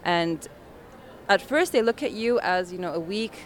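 A woman, a national team head coach, answering interview questions in a determined, energetic way with many fillers. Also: on the low side, normal speed. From United States.

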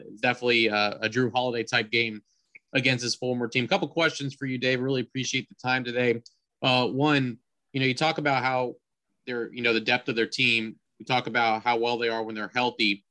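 A man's voice: medium pitch, bright, forceful tone. A man hosting a sports interview in a conversational broadcaster's style. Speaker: sports podcast host and team radio announcer.

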